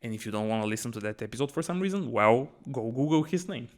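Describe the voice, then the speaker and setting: A man's voice: mid-range voice, lively intonation, quick pace. A man co-hosting a podcast, chatty and easygoing, moving the conversation from topic to topic. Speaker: podcast co-host.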